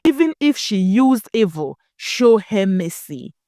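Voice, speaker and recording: A woman's voice: medium pitch, sing-song, slow, warm tone, dry recording, studio quality. A woman storyteller voicing a devout, merciful wife praying earnestly in a folktale. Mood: pleading, devout. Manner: earnest, prayerful.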